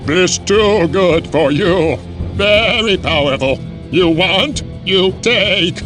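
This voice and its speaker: A man voicing a cowardly demon creature in a video game, pleading and bargaining in halting, broken speech. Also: sits high, over loud music, rough recording. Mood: pleading, servile. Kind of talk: video game character acting.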